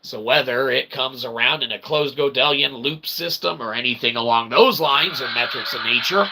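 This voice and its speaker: A man hosting a philosophy monologue, speaking in wordy pseudo-academic phrases with slangy asides and a gravelly, creaky voice. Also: medium pitch, normal speed, bright, forceful tone, poor audio. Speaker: host of an independent philosophy show.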